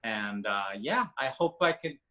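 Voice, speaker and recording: Male panel guest from Mexico, Mexican accent, bright tone, earnest and easy to laugh. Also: medium pitch, sing-song, measured pace. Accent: Mexican Spanish. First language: Spanish. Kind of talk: video call panel discussion.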